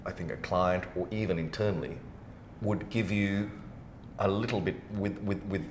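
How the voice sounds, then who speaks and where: A man's voice: low-pitched, steady tone, moderate pace, a little hiss or hum. A middle-aged man hosting a podcast in casual conversation, recorded in a roomy space. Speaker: podcast host.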